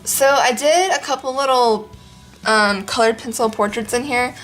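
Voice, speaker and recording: A young woman chatting casually in her living room as a vlog host, rambling with lots of ums and a voice that is going hoarse. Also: on the high side, normal speed, fairly bright tone, faint music behind, rough recording. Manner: rambling, many ums, laughs often. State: losing her voice. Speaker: art vlogger. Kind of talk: casual chat.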